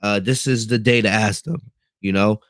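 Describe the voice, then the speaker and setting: A man's voice: fairly low pitch, fairly even intonation, moderate pace, no room sound. A young man from the United States hosting a wrestling podcast from his bedroom, talking in a casual, rambling way with lots of fillers and restarts while he reads the live chat. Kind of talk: casual livestream commentary. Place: bedroom at home.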